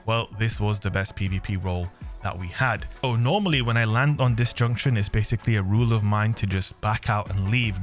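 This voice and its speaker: A man's voice: lowish voice, a bit bright. A young English man, a little under the weather, giving chatty, slangy gameplay commentary to his viewers. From England. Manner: chatty, jokey, slangy, addresses viewers as friends. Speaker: gaming channel host.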